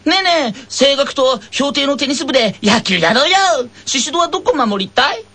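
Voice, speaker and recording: A man's voice: extremely high pitch, expressive, background noise. A man voicing an exuberant, cheerful schoolboy tennis player in a playful radio skit. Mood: bouncy, playful. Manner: exuberant, playful, drawn-out exclamations.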